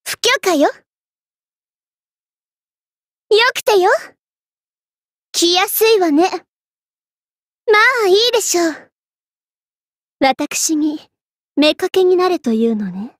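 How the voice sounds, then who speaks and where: A woman's voice: very high voice, no room sound, clean studio sound, heavily acted. A woman playing a haughty, vain young Egyptian queen spirit in an anime game, speaking with imperious, ladylike command. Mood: cool, dismissive. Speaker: game voice actor. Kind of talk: anime game character voice lines.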